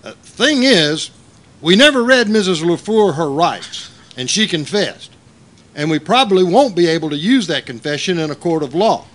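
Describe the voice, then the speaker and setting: A man's voice: on the high side, big pitch swings, normal speed, a bit bright. A man playing a hapless, officious police captain in a comic old-time radio play. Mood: sheepish.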